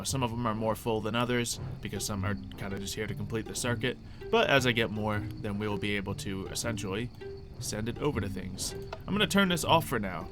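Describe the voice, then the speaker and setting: A man giving casual, chatty let's play commentary, explaining things as a gaming video host. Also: fairly low pitch. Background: background music.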